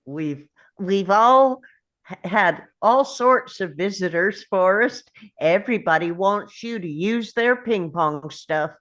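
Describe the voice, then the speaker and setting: An unhurried, folksy Southern character from a film script, played by a woman at a table read. The voice sits at a middle pitch and takes its time, polite and simple in manner. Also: lively intonation, a bit bright. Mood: excited, coaxing. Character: Forrest, polite simple Southern man; later his doting Mama.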